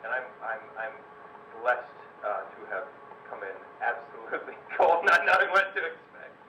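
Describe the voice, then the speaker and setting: Male Q&A host, lowish voice, eager rambling questions, heard from afar with noise. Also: big pitch swings, moderate pace, pushed and bright. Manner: enthusiastic, rambling questions with many restarts. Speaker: moderator. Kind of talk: hosting a post-screening Q&A. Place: cinema auditorium. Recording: echoey hall, distant mic, dull sound.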